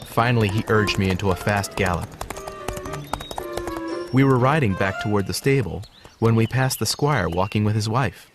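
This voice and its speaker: A man calmly narrating a children's storybook. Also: lowish voice, normal speed, long pauses. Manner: calm, clear reading. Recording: studio narration over music.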